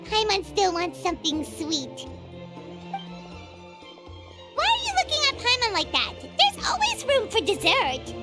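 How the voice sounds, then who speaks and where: A woman voicing a tiny, excitable fairy companion who talks about herself by name, in a video game. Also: very high-pitched, a little slow, pauses often, loud music behind the voice, full character voice. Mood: playful. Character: tiny chirpy floating fairy companion, greedy.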